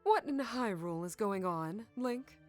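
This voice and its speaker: A middle-aged mother, acted by a woman speaks in an audio drama. She is protective and gentle, with a slow pace and a slightly low voice. Music sits underneath. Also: animated, lightly acted. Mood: concerned, pressing.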